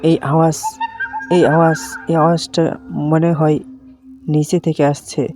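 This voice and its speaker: A man's voice: on the high side, little pitch movement, a little slow, music in the background, lightly acted. A man playing a young night-school student, brave but uneasy, in a horror cartoon. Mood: uneasy, alarmed. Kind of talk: cartoon horror story dialogue.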